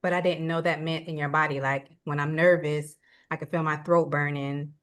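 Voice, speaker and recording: A woman with a notably low voice chats over a video call. She speaks candidly and giggles through her stories. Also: level tone, normal speed. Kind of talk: casual chat.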